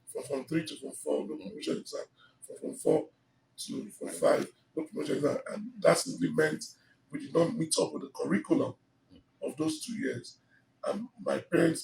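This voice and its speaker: A man's voice: a little high, vocal fry, slight background noise. A middle-aged man with a Nigerian English accent, telling his life story in an emphatic, expansive way with many fillers, interviewed on a sofa with a handheld mic. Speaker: interview guest. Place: living room on a sofa, indoors. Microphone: handheld mic held by interviewer. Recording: roomy, slightly distant mic.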